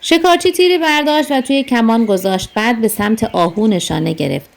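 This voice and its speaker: High-pitched woman telling a children's story. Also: gravelly. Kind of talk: scripted storytelling narration for children.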